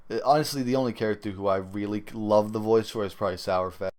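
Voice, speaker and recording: A man hosting a casual gaming podcast, talking in a halting, rambling way. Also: on the low side, fairly even intonation, a little mellow. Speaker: podcast host. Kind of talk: casual gaming talk show commentary.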